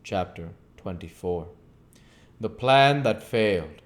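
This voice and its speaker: A man's voice: fairly low pitch, lively intonation, slow pace. A man narrating a classic novel as an audiobook reader. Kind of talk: storybook narration.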